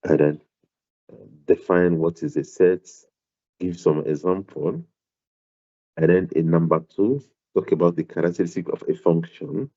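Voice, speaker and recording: A man with a very low voice and a non-native accent lectures an online maths class. He talks hesitantly, repeating words and filling pauses as he goes. The sound is dull and muffled, like a laptop mic on a video call. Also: fairly even intonation, mellow. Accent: non-South African, foreign to English. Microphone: computer mic on a video call.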